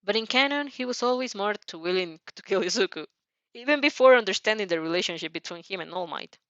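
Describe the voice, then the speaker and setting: A woman narrating a fan fiction story dramatically, with an expressive, somewhat halting delivery. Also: mid-range voice, dry recording, dull audio.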